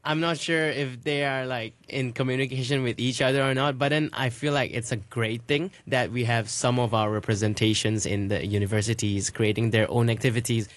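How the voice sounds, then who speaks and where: A man's voice: medium pitch, steady tone, a little fast, hardly any pauses, no echo. A man co-hosting a radio show, putting questions in a casual, conversational style. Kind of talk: casual interviewing.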